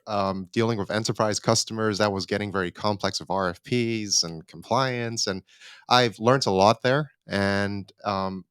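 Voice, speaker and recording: A man's voice: on the low side, clear, resonant tone, no room sound, studio quality. A man in his thirties from Hong Kong, a startup cofounder, talking casually in an interview with some false starts. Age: 30s. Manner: relaxed, conversational, with repeated starts.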